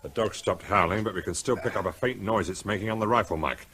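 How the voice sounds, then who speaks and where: An actor playing a practical, authoritative police officer in charge, in a naturalistic radio drama. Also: male voice, on the low side, fast, fairly bright tone, a little hiss or hum. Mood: matter-of-fact, wry. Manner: authoritative, matter-of-fact. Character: firm, practical male police officer commanding a siege.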